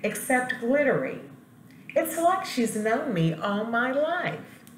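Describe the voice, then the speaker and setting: A woman's voice: fairly high pitch, sing-song, slow pace, voice pushed up. A woman voicing a friendly, naive, male school building from a storybook with earnest, childlike wonder. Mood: pleased. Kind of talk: storybook character voice. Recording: roomy echo, light background noise.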